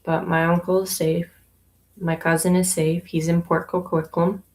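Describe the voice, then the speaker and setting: A young adult woman from Canada, a yarn shop owner, chatting casually on a livestream while she knits, relaxed and unhurried, with plenty of ums and pauses. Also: fairly low pitch. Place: inside her yarn shop.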